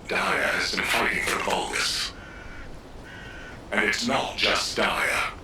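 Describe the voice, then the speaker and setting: An actor plays a grave military commander in a game, solemn and measured. His voice is on the low side. It sounds roomy and distant, under loud noise. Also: close to an everyday voice. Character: grave male military commander briefing an agent. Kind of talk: video game voice acting.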